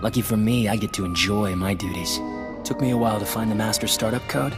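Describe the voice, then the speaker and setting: Actor as a sneering, low-voiced Sith villain. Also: male voice, fast talker, loud music behind the voice, natural voice. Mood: gloating menace. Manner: contemptuous, gloating. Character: sneering, vengeful male Sith sleeper-agent villain. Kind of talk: video game cutscene acting.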